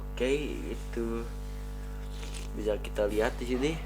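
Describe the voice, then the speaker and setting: A man with a cold talks viewers through network switch commands as he types them in a screen-recorded lesson. His pitch sits in the middle range. Faint music plays behind him. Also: level tone, unhurried, poor audio. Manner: addresses viewers as friends, talks while typing commands. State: has a cold. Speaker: networking instructor.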